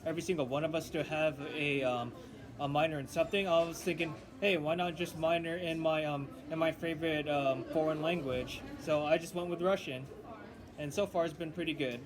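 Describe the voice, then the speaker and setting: A young man talks haltingly, restarting his sentences and filling pauses with ums. His voice is slightly higher than average, and clear crowd chatter runs behind him. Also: steady tone. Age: young adult. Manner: halting, many restarts and fillers. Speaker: linguistics student. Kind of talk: casual chat.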